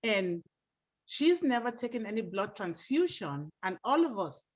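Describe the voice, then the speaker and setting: A woman from Cameroon tells a story on a video call in an earnest, grateful way. Her voice sits in the middle of the pitch range. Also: very animated, unhurried, slightly rough.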